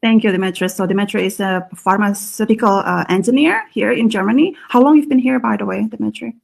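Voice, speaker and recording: Gravelly-voiced woman hosting a live call. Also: medium pitch, darkish tone. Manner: halting, many fillers and restarts. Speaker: host. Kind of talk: live online panel chat.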